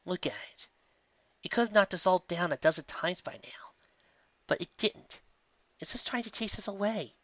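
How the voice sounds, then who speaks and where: A man voicing a bold, stubborn young female unicorn who shouts defiantly. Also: sits high, moderate pace, long pauses, dry recording, voice pushed up. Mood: earnest. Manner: shouts boldly. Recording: dull lo-fi audio.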